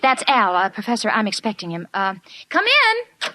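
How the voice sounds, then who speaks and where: A woman's voice: mid-range voice, huge pitch swings, moderate pace. An actress playing a sensible, exasperated young woman who coaxes and worries with wry patience, in a 1940s radio sitcom. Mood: brisk, concerned. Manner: wry, coaxing, concerned. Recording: slightly noisy vintage 1940s radio recording.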